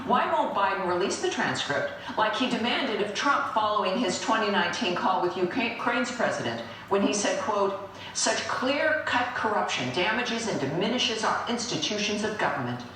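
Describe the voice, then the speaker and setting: An American woman hosts a political talk show in a room full of echo. She delivers scripted commentary in a mid-pitched voice, emphatic and indignant. She sounds set back from the microphone, with faint noise. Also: a bit bright, recorded from a distance. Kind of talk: scripted opinion monologue.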